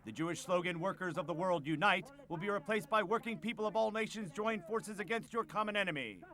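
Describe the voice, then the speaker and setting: A man's voice: high voice, a little fast, background noise, natural voice. A man voicing a menacing, fanatical political orator in a translated speech, declamatory and emphatic. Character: menacing, fanatical male fascist orator addressing comrades.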